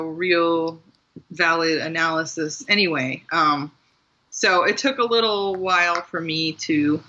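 Bright-voiced American woman, slightly dull recording. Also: low-pitched. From Maryland, US. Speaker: guest author and writing teacher. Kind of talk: casual reflective interview conversation.

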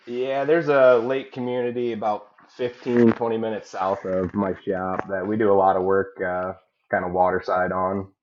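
A man's voice: lowish voice, little pitch movement, moderate pace, muffled recording, a little hiss or hum. A guest man from Illinois, a landscaping business owner, talking in a laid-back, plain-spoken way on a podcast. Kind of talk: casual chat.

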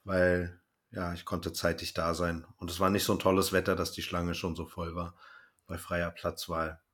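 A man with a low-pitched voice hosts a podcast. He talks in a casual, conversational interview style. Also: little pitch movement, normal speed, fairly bright tone. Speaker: podcast host.

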